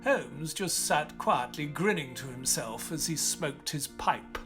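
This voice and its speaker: A man's voice: a little high, animated, slow, over music. An actor playing an earnest, curious doctor who narrates the story and questions his companion.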